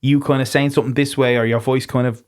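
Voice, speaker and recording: An Irish man hosting a podcast, talking in a relaxed, casual way, swearing freely and restarting his sentences. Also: medium pitch, fast. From Ireland. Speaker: podcast host. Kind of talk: casual conversation.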